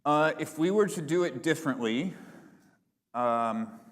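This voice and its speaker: A man presenting at a business conference, answering audience questions in a relaxed, filler-heavy corporate manner. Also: on the high side, measured pace, big-room sound. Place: large conference hall.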